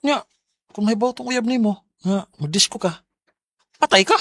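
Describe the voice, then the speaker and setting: A man's voice: high voice, expressive, normal speed, a bit bright, dry recording. A man with a Visayan Filipino accent playing a young, laid-back older brother who teases and banters in a radio drama. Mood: bantering. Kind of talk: Bisaya radio drama acting.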